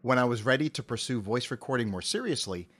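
A middle-aged man with an articulate, measured delivery, giving prepared interview answers into a close cardioid mic. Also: medium pitch, animated, fairly bright tone. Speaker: interview guest, amateur voice actor. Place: home office. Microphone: cardioid dynamic mic with pop filter, on a stand.

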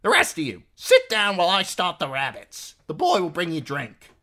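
A sharp-tongued, middle-aged innkeeper woman, acted out by a man for a storybook. The voice is pushed well up and bright, with big swings in pitch. The manner is scolding, rustic and blunt. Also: huge pitch swings, moderate pace, heavily acted. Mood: commanding, blunt. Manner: scolding, rustic folksy speech.

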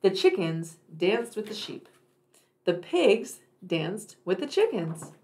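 Woman reading a picture book, very lively intonation. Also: medium pitch, slow pace. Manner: addresses young children, emphatic dramatic phrasing. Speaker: read-aloud storyteller for children.

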